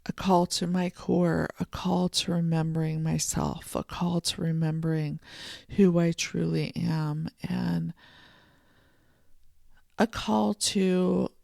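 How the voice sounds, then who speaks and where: A podcast host giving a soft, contemplative solo monologue from a home office, voice a little froggy this morning. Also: medium pitch, level tone, vocal fry, dry recording, clean studio sound. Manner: soft, slow and contemplative, with gentle restarts. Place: home office and art studio.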